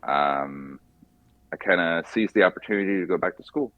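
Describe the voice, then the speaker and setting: A man chats informally as a guest. He tells his story in a halting, rambling way. The sound is dry and slightly dull. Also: low-pitched, no room sound. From California, USA. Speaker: podcast guest, car mechanic by trade. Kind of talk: casual chat.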